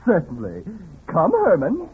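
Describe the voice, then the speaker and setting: A man's voice: high-pitched, wildly swooping pitch, measured pace, narrow, tinny audio, full character voice. A man playing a patronizing, chirpy menswear salesman in 1940s radio comedy, sing-song and condescending. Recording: noisy, thin 1940s radio, audience behind.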